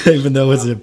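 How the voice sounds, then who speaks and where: A man co-hosting a casual movie chat, laid-back, laughing often and reading out viewer comments. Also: medium pitch. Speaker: podcast co-host reading chat.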